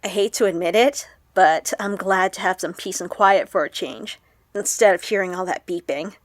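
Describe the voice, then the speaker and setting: A woman playing an awkward, excitable young woman vlogger in an audio drama, chatty and expressive. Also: fairly low pitch, normal speed, no room sound, lightly acted. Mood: wistful, confiding. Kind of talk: audio drama acted as a confessional vlog.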